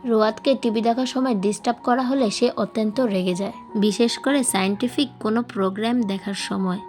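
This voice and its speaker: A woman's voice: on the high side, steady tone, fairly quick, darkish tone. A woman reading a novel aloud as a scripted audiobook narration. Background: background music.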